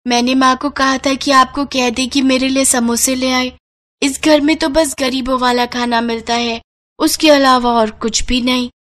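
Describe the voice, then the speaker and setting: Woman putting on a whiny, high little girl. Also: fairly even intonation, normal speed, fairly bright tone. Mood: whiny, disappointed. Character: little village girl, whiny and disappointed niece. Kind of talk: Hindi cartoon moral story voice acting.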